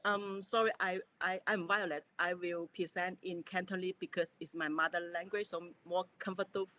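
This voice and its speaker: A woman artist from Hong Kong presenting her work in an online talk, soft and a little hesitant. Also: lowish voice, fairly even intonation, moderate pace. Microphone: video call.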